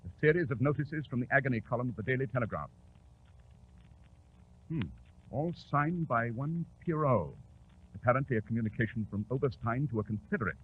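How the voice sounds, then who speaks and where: An actor playing a brisk, commanding, self-assured detective in a theatrical, crisp 1940s radio drama style. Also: male voice, medium pitch, natural voice. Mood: brisk, intent. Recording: tinny, noisy 1940s radio recording.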